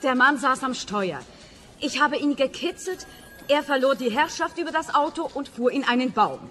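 A woman's voice: high-pitched, animated, moderate pace, pushed and bright, big-room sound, faint music behind. An actress playing an indignant, shaken woman, a detective's client in a comic radio play, blunt, earnest and dramatic.

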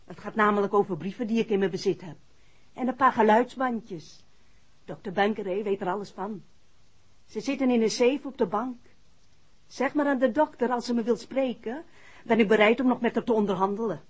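Worried but defiant mum, dutch accent, very husky, old muffled radio drama. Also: female voice, on the high side, a little fast. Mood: firm, insistent. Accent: Netherlands Dutch. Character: anxious, defiant working woman, mother of injured man. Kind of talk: 1960s Dutch radio play acting.

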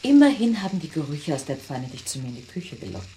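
A woman's voice: deep voice, sing-song, quick pace, mellow, a little hiss or hum, low-quality recording. A woman playing a cool, scheming wife in a radio drama, probing with dry, decisive remarks. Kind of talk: naturalistic German radio drama acting.

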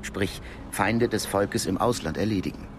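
Casting: male actor as calm, knowing secret-service contact, lowish voice, measured radio drama delivery over ambient noise. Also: lightly acted. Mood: grave, explanatory. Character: discreet male Cold War intelligence contact, calm and knowing. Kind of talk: naturalistic German radio drama acting.

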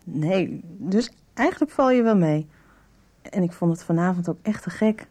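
A woman's voice: lowish voice, lively intonation, moderate pace, mellow. Coy teen girl of nineteen in a radio soap, bit guarded, voice moves around a lot. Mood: coy, teasing. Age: young adult. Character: coy, wary nineteen-year-old young woman, frank and playful. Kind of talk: 1990s Dutch radio soap dialogue.

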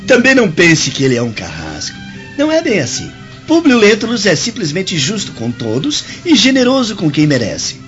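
A haughty young nobleman of ancient Rome in a radio drama. His voice is fairly high and swings very widely, commanding and condescending. The sound is dull and lo-fi. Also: wildly swooping pitch, moderate pace, a bit bright, faint music behind, full character voice. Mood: amused, condescending.

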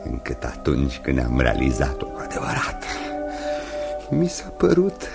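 An actor playing a haunted, secretive gentleman opium smoker in a radio play, speaking in a brooding, halting, dramatic way. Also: very deep voice, huge pitch swings, vocal fry, over loud music, heavily acted. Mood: haunted, intense. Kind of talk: radio theatre acting.

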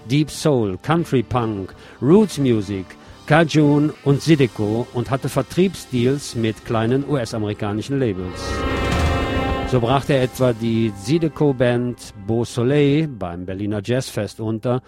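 A man with a mid-pitched voice reads a prepared article aloud in the role of a radio host. His delivery is scripted narration, and music plays faintly behind him. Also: measured pace.

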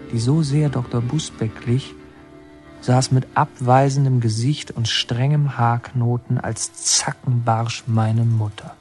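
An actor playing a brooding young man who narrates his memories in a reflective, deliberate, literary radio drama style. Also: medium pitch, a little mellow. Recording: prominent music under the voice. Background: music.

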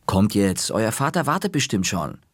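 Male reader voicing a brisk mum, matter-of-fact, low and husky, dry close sound. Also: big pitch swings, no room sound. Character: brisk mother of two boys.

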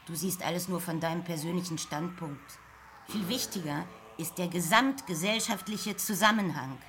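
A woman's voice: lowish voice, normal speed, fairly bright tone, a little hiss or hum, natural voice. A woman playing a bossy, preachy guerrilla revolutionary in a German radio play, lecturing in political slogans. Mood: lecturing, irritated. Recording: music behind.

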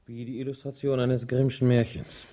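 An actor playing a confident husband who speculates over grim clues in a conversational, assertive way in a radio crime drama. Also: male voice, medium pitch, little pitch movement, normal speed, dark tone, close to an everyday voice. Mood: musing.

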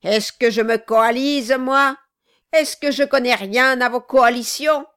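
A woman voicing a cruel, violent male dwarf in an audiobook, loud and mocking. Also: on the high side, unhurried, smooth. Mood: furious. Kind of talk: audiobook reading.

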